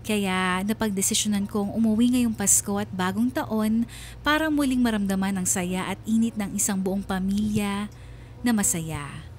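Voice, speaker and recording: A woman's voice: medium pitch, moderate pace, close to an everyday voice. Woman acting sorrowful narrating wife, faint noise. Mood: wistful, aching. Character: sorrowful, homesick wife narrating her own story. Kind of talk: scripted dramatic narration.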